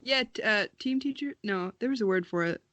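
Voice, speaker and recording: A woman's voice: medium pitch, fairly quick, fairly bright tone, no echo. A woman in her early twenties chatting casually as a podcast co-host, rambling, self-mocking and giggly. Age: early 20s. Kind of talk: casual chat.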